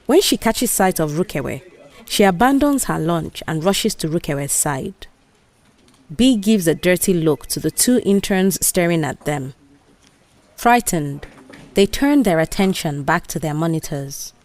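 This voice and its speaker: A woman's voice: lowish voice, lively intonation, measured pace, darkish tone. A woman with a Nigerian accent narrating a scripted audio drama.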